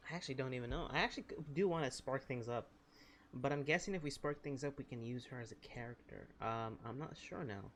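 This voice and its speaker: A woman's voice: very deep voice, fast, a little hiss or hum. A woman giving casual gameplay commentary, hesitant and self-deprecating as she thinks aloud. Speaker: gamer playing and commenting. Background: game music.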